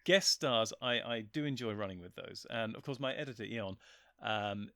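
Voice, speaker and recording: A man's voice: medium pitch, normal speed, a bit bright, dry recording. A man chatting casually as a guest, talking enthusiastically and wandering off on tangents. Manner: enthusiastic, rambling and digressive. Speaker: guest, writer and voice actor. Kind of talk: casual chat.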